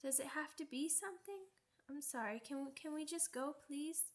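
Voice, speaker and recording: A woman voicing an excitable, stubborn young werewolf pup in an ASMR roleplay, with childish, halting, repetitive speech. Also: high voice, close to an everyday voice. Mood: dejected. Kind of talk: ASMR character roleplay audio drama.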